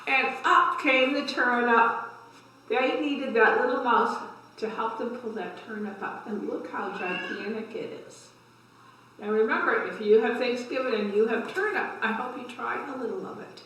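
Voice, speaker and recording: An older woman reads children's books aloud in a bright, fairly high voice. She adds simple explanations and questions for young listeners. The room is very echoey and she sounds a little way from the mic. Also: moderate pace, slight background noise. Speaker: library story time host. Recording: echoey room, distant microphone.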